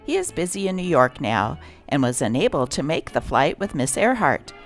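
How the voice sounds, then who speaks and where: A woman's voice: sits low, very animated, moderate pace, soft background music. A woman reading a script aloud as host and narrator, with a theatrical delivery.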